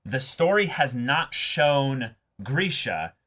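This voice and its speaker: A man's voice: mid-range voice, big pitch swings, slow, pushed and bright. A man hosting an anime discussion show, talking casually and analytically, stressing and repeating his points insistently.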